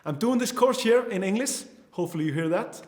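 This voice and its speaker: A man's voice: sits high, lively intonation, brisk, a little mellow, reverberant. A man in his twenties from Iceland with an Icelandic accent, teaching an online course earnestly, often restarting his sentences. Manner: earnest, with frequent restarts and fillers. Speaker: course instructor.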